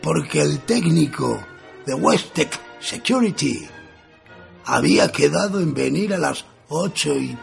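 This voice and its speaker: A man playing a weary, wry ageing writer reading his diary in a radio play, gravelly. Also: fairly high pitch, exaggerated voice. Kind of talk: radio theatre narration.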